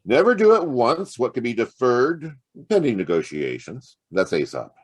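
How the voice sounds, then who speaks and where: A man's voice: mid-range voice, sing-song, moderate pace, breathy edge. An American man playing a wry, sardonic hard-boiled private detective in a noir audio drama. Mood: sardonic, cool. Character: hard-boiled, wisecracking male private detective, narrating his case.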